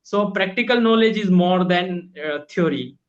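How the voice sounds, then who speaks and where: A man's voice: high voice, moderate pace, lo-fi sound. A young man from India, a native Hindi speaker, earnestly asking questions in a casual English practice lesson, politely calling his teacher ma'am. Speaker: English learner. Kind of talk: online language lesson chat. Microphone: video call.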